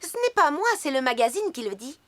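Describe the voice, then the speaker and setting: A woman's voice: high-pitched, big pitch swings, fairly quick. A woman voicing a bossy, vain little girl animal in a children's cartoon, with a theatrical announcer's delivery. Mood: self-assured.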